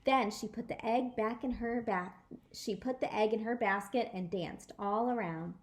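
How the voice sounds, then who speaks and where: A woman storyteller reading a children's book aloud in an animated, emphatic way. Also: on the high side, moderate pace. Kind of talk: expressive children's book read-aloud in a child narrator's words.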